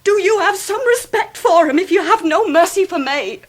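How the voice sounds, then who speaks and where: A woman's voice: very high voice, expressive, moderate pace. An actress playing a disgraced young Victorian woman, imploring and emotional in a radio drama. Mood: desperate defiance. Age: young adult. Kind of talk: 1990s radio drama acting.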